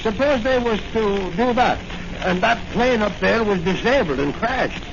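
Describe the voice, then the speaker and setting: A man's voice: high-pitched, expressive, measured pace, gravelly, exaggerated voice. An actor playing a pompous veteran policeman in the style of a 1940s radio serial, self-important and clumsy with long words. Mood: boastful. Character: blustering, self-important veteran Irish-American beat cop, male. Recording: noisy, muffled old radio recording.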